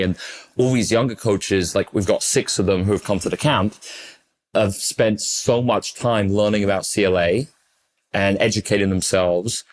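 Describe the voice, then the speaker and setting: A young English man, a basketball coach, talking in a casual interview, emphatic and fluent, repeating words for stress. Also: fairly low pitch. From England.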